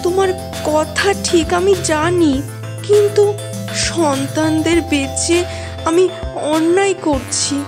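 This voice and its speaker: A woman voicing a naive, trusting mother hen in a cartoon fable, with a gentle, protesting manner. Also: extremely high pitch, slow, over music, pitched above the performer's own voice. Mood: worried. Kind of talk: animal fable storytelling.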